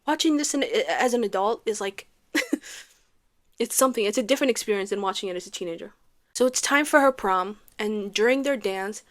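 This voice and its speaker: A young woman reviewing a movie in a casual comedic vlog, animated and sarcastic, ranting with rhetorical questions. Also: medium pitch.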